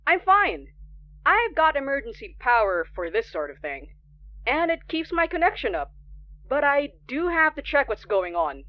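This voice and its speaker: A woman's voice: high voice, big pitch swings, dull audio. A woman playing a polite, composed non-binary late-night radio DJ in an audio drama. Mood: concerned, steady. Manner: polite, unhurried. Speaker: radio show host. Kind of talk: conversational audio drama acting.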